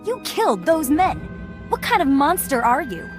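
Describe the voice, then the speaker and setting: A woman's voice: high voice, very animated, moderate pace. An actress playing an idealistic, headstrong young female activist in a video game. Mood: accusing, defiant. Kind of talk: video game character acting. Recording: music under the voice.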